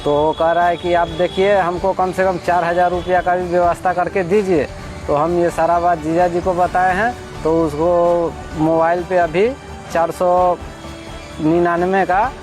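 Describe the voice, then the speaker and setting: A man with a Bihari accent talking in a plaintive, repetitive way, explaining and pleading. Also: high voice. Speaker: complainant, patient's relative. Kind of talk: pleading phone talk and interview account. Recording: background music over recorded speech.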